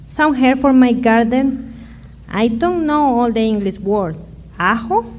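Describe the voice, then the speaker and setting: A woman playing a humble, generous Mexican mother in a radio drama, speaking halting Spanish-accented English sprinkled with Spanish words. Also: fairly high pitch, expressive. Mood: gentle, helpful.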